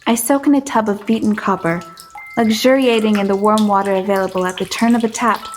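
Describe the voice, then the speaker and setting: A woman playing a shy, awed young woman in a period audio drama, soft-spoken, hesitant and earnest. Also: mid-range voice, brisk, slight background noise, lightly acted. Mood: reflective. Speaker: lead actress and narrator. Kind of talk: first-person dramatic narration and dialogue.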